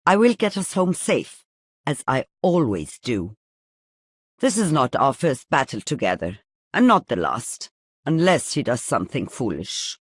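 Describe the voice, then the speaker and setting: Older game healer, slow, huge pitch swings, stern, wise, measured. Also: female voice, big pitch swings, husky, no room sound, studio quality, full character voice. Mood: steady, stern. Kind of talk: dramatic video game voice lines.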